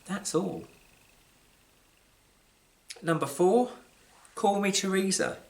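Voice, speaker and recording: A British man with a slightly high voice reads literary fiction as its author. His delivery is measured and wry, leaning on dramatic pauses. Emphasis shifts from phrase to phrase. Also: vocal fry, a little mellow. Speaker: author reading his own short story. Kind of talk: scripted literary storytelling, live reading.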